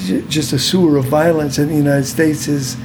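A man moderating a book talk, measured and prompting the speakers. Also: a little high, steady tone, noisy background. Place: bookstore event.

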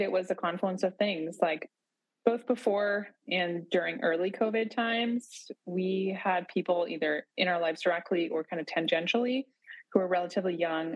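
Husky-voiced woman, thoughtful and unhurried. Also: lowish voice, no echo, low-quality recording. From Boise, Idaho area, USA. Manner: thoughtful, with frequent restarts and hedges. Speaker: podcast guest. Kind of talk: reflective casual conversation.

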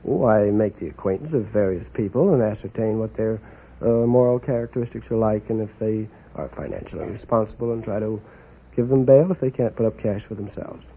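Husky, polite male radio quiz contestant. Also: on the low side, fairly quick. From New York City. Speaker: quiz show contestant, bail bondsman. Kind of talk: answering a quiz host's interview questions. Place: radio show stage. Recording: muffled old-time radio recording.

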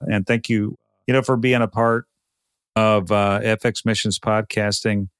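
A man from Texas with an American accent, speaking conversationally in short, deliberate phrases as a podcast host. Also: fairly low pitch, steady tone, clean tone, no echo, studio quality. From Texas, United States. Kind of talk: podcast introduction and casual interview.

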